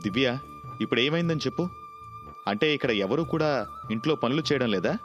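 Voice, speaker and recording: నటుడు పోషించే వాదించి నచ్చజెప్పే మధ్యస్థ స్వరపు భర్త. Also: male voice, medium pitch, expressive, a little fast, big-room sound, dull audio, music in the background, close to an everyday voice. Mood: reasoning, impatient. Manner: reasoning, dismissive. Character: practical, reluctant husband and family breadwinner. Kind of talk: moral story dialogue acting.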